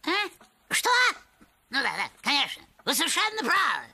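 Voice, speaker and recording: Greedy old cartoon miser, very high voice, fussy, sputtering, wildly varied intonation. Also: male voice, huge pitch swings, moderate pace, gravelly, heavily acted. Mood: gleeful, muddled. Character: cranky, greedy, forgetful old deaf miser. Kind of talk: animated film voice acting.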